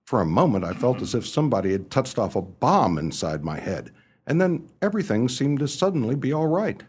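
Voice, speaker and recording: An actor playing an amiable, muddled, well-off young English gentleman in a radio play, narrating in a chatty, theatrical upper-class way. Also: mid-range voice, big pitch swings, fairly quick, slightly rough. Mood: relieved. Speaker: narrator and lead character.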